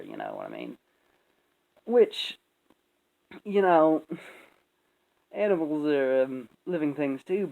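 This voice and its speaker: Opinionated commentator, rambling and emphatic, very animated delivery with a creaky edge. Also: mid-range voice, rough recording. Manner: rambling, emphatic, frequent swearing. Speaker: video commentator.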